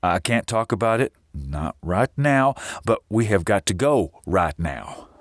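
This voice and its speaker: A close, dry recording of a guarded sheriff in an audio drama. He is blunt and commanding, unhurried in pace but with lively swings in pitch. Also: no echo. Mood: urgent. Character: gruff, guarded small-town sheriff taking charge.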